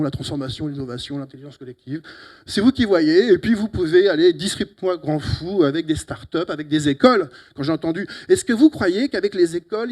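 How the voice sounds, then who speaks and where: A middle-aged man from Italy with an Italian accent, giving a lively, teasing closing talk at a conference on a handheld mic, with sudden loud emphatic bursts. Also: high-pitched. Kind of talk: lively, joking conference speech. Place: conference hall. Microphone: handheld microphone.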